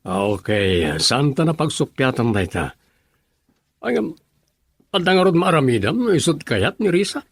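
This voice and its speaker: A man's voice: mid-range voice, sing-song, a little slow, pauses often, breathy edge, dry recording, studio quality, full character voice. A man acting a gossipy but levelheaded buddy who gives advice in an Ilocano radio drama.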